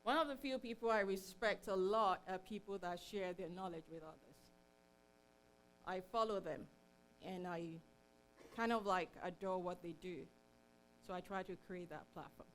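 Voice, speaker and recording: A woman's voice: lowish voice, moderate pace, fairly bright tone, slight background noise, low-quality recording. A middle-aged woman giving an informal live presentation at a meetup, chatty and self-deprecating as she addresses the room.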